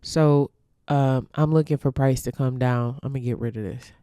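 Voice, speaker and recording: A woman's voice: a bass voice, steady tone, moderate pace, dark tone, smooth, dry recording. A congested woman teaching forex trading, walking through a chart casually and thinking aloud with ums and restarts.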